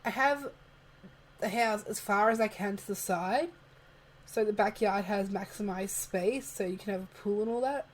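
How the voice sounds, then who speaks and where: Soft-spoken woman, chatty and giggly. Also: mid-range voice, moderate pace, slight background noise, poor audio. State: losing her voice. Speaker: video creator narrating a game build. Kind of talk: casual voice-over chat. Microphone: home desk microphone, moved closer partway.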